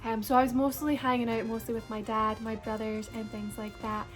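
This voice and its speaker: A young woman from Scotland with a soft, partly Americanized Scottish accent, chatting casually to camera with lots of likes and ums. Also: a little high, level tone, brisk, soft background music. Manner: chatty, frequent likes and ums, laughs between words. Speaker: vlogger. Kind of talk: casual personal storytime.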